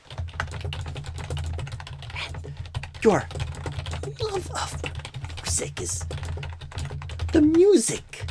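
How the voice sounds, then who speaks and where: A man playing a clueless, bumbling job recruiter in an ASMR roleplay interview, with a husky voice that often slips toward a whisper and halting, rambling phrasing full of odd pauses and stresses. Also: high voice, background noise, heavily acted. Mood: musing, coaxing.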